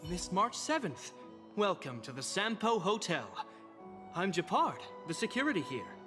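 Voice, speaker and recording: Earnest guard, high lively pitch. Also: male voice, moderate pace. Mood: courteous, solemn. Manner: polite, formal, stiff. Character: earnest, formal young male guard captain. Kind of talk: acted video game dialogue. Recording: reverberant, with music behind.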